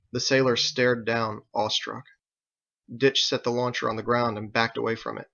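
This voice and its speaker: A man's voice: medium pitch, gravelly, fairly bright tone, dull audio. A man reading his own novel aloud as dramatic audiobook narration.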